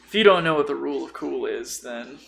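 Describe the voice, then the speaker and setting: A man's voice: medium pitch, animated, brisk, gravelly, noisy background. A man chatting casually and explaining tabletop role-playing with acted-out examples, as an experienced game master.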